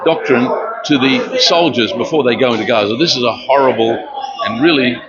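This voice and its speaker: An older man born in Romania, giving an earnest street interview at an outdoor protest, speaking haltingly with many fillers. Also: mid-range voice, over a noisy crowd. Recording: dull lo-fi with loud outdoor noise.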